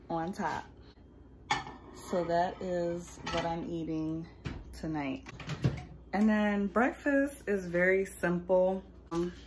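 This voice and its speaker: Young American woman vlogger, slightly low voice, relaxed slow delivery, informal and slangy. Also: slight background noise. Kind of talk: casual chat to camera.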